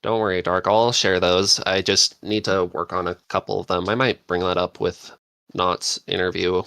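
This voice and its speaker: A man talking casually and enthusiastically as a podcast panelist, quick to agree with the others. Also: low voice, flat delivery, creaky, pushed and bright, clean tone, dry recording.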